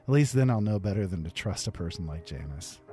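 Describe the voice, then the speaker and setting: A man's voice: deep voice, animated, fairly quick, over music. A man reading a first-person fantasy story aloud in a wry, rueful way.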